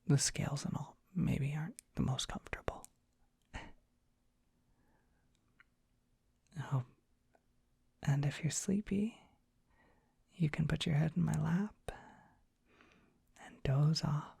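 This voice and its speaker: A performer plays a dorky, gentle dragon boy in an ASMR roleplay. His voice is a very husky, breathy whisper, heavily creaky at the edges. He talks slowly and shyly, halting softly as he soothes the listener. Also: medium pitch, no echo, clean studio sound, heavily acted. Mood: bashful, soothing. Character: gentle, shy, dorky young dragon boy, tenderly comforting.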